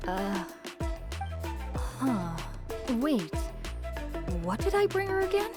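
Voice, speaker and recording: A woman voicing a chatty, expressive female villager in a video game. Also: sits high, slow pace, full character voice. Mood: puzzled. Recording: game music behind the voice.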